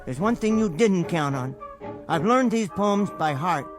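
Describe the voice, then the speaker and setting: An American actor playing a timid, bookish, henpecked middle-aged husband in a radio drama, with hesitant, stammering speech and a gentle dignity. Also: male voice, high voice, animated, unhurried, over music. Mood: hurt, defiant. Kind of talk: scripted radio drama acting.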